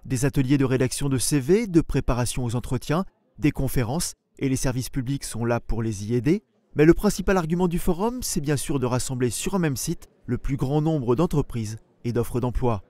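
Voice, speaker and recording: A man's voice: medium pitch, lively intonation, fairly quick, dark tone, dry recording. A man reading scripted narration as the voice-over of a local news report.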